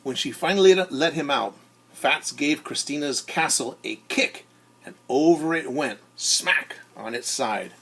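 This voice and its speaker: A man who is a teacher reads a storybook aloud to children in an expressive way, often turning to ask his listeners questions. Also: fairly high pitch, a little slow, gravelly, slightly rough. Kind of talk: animated storybook read-aloud with asides to young listeners.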